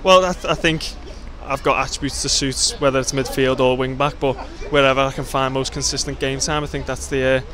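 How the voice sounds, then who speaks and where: A man's voice: medium pitch, fairly even intonation, brisk, few pauses, crowd noise. A man in his twenties, a footballer giving casual answers in a post-match interview. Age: 20s. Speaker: professional footballer. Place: outdoors in a stadium car park. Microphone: handheld interview microphone. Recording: outdoor recording with background noise.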